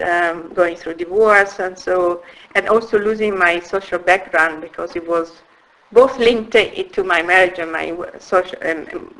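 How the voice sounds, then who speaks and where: Bright-voiced Italian woman telling her story. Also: mid-range voice, low-quality recording. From Italy. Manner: halting, with frequent restarts and soft laughs. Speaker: interviewee. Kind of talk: personal life story in conversation.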